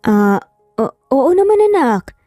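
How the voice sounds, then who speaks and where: A woman voicing a calm, affectionate but firm male boss and father in a dramatised story. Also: fairly high pitch, a little slow, no room sound, studio quality, faint music behind. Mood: reassuring.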